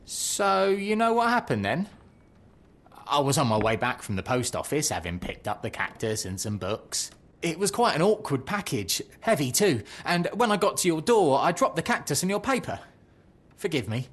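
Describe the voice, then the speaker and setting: Meek bookseller, game cutscene, hesitant, apologetic, husky, higher-pitched, lively intonation. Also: male voice, moderate pace, exaggerated voice. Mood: sheepish. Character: timid, apologetic, lovelorn male bookseller. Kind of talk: video game cutscene acting.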